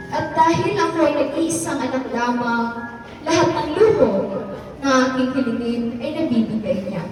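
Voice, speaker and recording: A woman playing an eighteen-year-old who narrates her own life story with regret, in scripted radio drama style. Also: sits high. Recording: echoey hall, distant, music behind.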